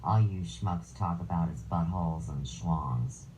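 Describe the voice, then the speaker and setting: A woman with a notably deep, low-pitched voice and a dark, mellow tone runs a live stream. She reads viewer comments aloud and answers them with sarcastic, crude jabs, in a casual chatty way. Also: unhurried, a little hiss or hum.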